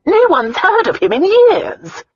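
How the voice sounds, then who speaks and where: A woman's voice: a little high, very animated, moderate pace, a bit bright, breathy edge, no room sound, muffled recording, voice pushed up, heavily acted. A woman voicing a greedy, self-pitying older countess in an audiobook, wailing and whining in indignation. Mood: shocked anger. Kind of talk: audiobook character acting.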